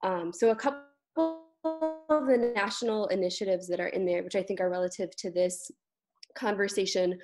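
A young woman talks as a panelist on a video call. She explains her points in an explanatory discussion style, at a middle pitch. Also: darkish tone. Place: indoor room on a video call.

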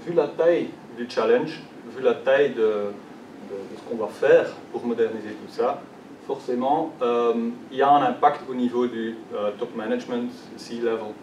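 A man's voice: mid-range voice, normal speed, darkish tone, muffled recording, a little hiss or hum. A young man with a Belgian French accent, French not his first language, giving a conference presentation with many fillers and restarts. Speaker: conference speaker. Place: large conference hall. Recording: echoey hall, distant mic.

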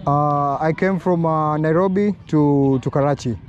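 A man's voice: on the high side, a little slow, highs cut off. A young man from Kenya, a travel vlogger, chatting with locals on an outdoor football field into an action camera, friendly and repeating his thanks. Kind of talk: casual chat with locals. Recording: clear outdoor noise.

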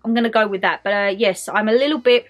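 A young woman, chatting casually to viewers in a haul vlog, a bit congested and hoarse from a cold. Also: medium pitch, fast talker, faint music behind. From United Kingdom. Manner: bubbly, chatty, talks straight to viewers. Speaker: haul vlogger. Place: bedroom decorated for Christmas, indoors.

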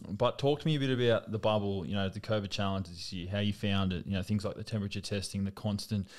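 A man's voice: lowish voice, fairly even intonation, quick pace. Laid-back man hosting a podcast. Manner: easygoing, chatty, laughs often. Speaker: podcast host. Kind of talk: casual interview chat. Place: small home studio. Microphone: studio mic on desk stand with headphones.

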